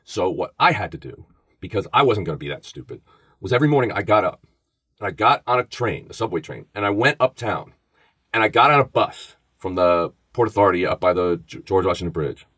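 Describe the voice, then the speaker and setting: Deep-voiced Bronx man telling stories. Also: a little fast, slightly rough, dry recording. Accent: New York, Bronx. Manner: animated storyteller, acts out quoted voices. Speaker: former FBI agent, podcast co-host. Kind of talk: casual storytelling conversation.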